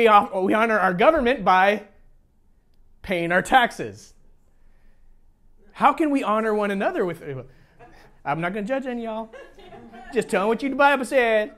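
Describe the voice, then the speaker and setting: An American man with a high voice gives a sermon to his congregation. His pitch moves in lively swings as he teaches, informal and conversational, restating key phrases for weight. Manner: informal, jokey asides, repeats phrases for emphasis. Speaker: pastor.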